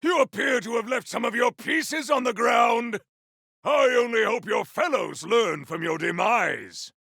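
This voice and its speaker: Game hero: grandiose, vengeful elemental warrior, very gravelly and forceful, theatrical archaic combat lines. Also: male voice, very high-pitched, expressive, a little slow, dry recording, studio quality, exaggerated voice. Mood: fierce, bombastic. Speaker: voice actor for a video game character. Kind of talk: video game combat barks.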